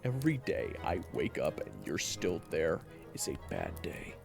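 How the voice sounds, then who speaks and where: A man acting a gruff, cocky young monster hunter in a fantasy audio drama, blunt and sneering. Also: sits low, huge pitch swings, moderate pace, music in the background, exaggerated voice. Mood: sullen.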